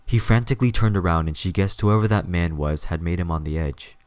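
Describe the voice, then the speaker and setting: Deep-voiced male story narrator. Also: fairly quick, a little mellow, dry recording.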